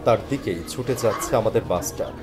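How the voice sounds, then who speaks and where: A man's voice: on the low side, fairly quick. A man giving dramatic, scripted first-person narration of a story. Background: background music.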